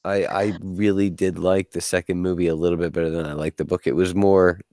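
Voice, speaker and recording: Deep-voiced rambling man in a dry room. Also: level tone, brisk, dark tone, no echo. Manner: rambling, restarts often, laughs easily. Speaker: podcast host. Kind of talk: casual podcast chat.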